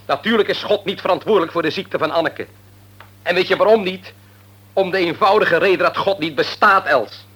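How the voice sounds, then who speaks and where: An actor playing a middle-aged grieving father in a radio play, bitter and argumentative, sinking into quiet despair. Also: high-pitched, a little fast, breathy edge, exaggerated voice. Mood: bitter, arguing.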